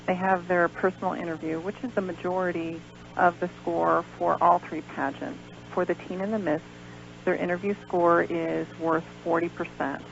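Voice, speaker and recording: A woman's voice: on the low side, vocal fry. An American woman explaining things as a guest in a radio interview, in a hesitant, explanatory way. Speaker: guest, pageant organizer. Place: radio show. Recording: dull lo-fi radio recording with hum, noise.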